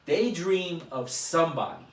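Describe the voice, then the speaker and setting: A man's voice: a little high, sing-song, a little slow. A man hosting a casual boxing interview, building his questions up in short, separate phrases. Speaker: boxing show host and interviewer. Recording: echoey room, distant mic.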